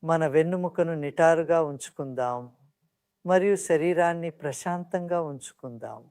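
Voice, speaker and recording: A meditation guide speaks calmly and soothingly at a mid-range pitch. The instructions come in measured phrases with pauses between them. The sound is close and dry, with very little room echo. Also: smooth.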